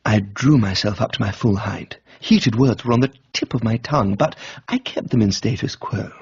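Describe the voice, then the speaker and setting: A man's voice: fairly low pitch, moderate pace, breathy edge, lo-fi sound. A British man giving a theatrical, wryly breezy first-person narration of a scripted comic story.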